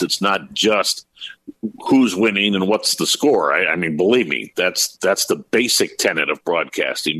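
A man's voice: low-pitched, a bit bright, rough recording. A man in his fifties, a sports broadcaster, explaining his work in detail in a conversational podcast interview. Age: 50s. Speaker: basketball radio play-by-play broadcaster, interview guest.

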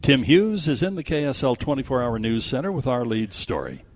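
A man's voice: mid-range voice, big pitch swings, fairly quick, no room sound, clean studio sound. A man hosting a radio show, bantering and reading sponsor plugs effusively, stretching words for emphasis. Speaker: radio movie show host.

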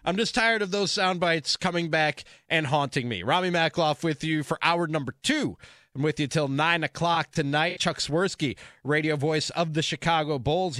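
A man's voice: a little high, a bit bright, no echo, clean studio sound. A man hosting sports talk radio, delivering an emphatic, opinionated monologue and repeating phrases for stress.

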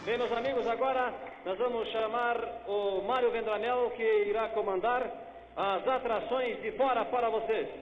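High-pitched announcer in an echoey hall. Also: male voice, fairly even intonation, moderate pace. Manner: showy, building up applause. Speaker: TV show announcer. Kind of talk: stage announcement. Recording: echoey hall, lo-fi archive TV audio, loud music.

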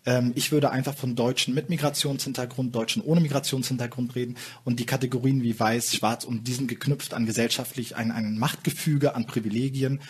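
A man with a husky voice makes his case on a talk show panel. He sounds earnest and reflective and often stops to restart a sentence. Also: medium pitch, fairly even intonation, a little fast, few pauses. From Germany. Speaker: panel guest, author.

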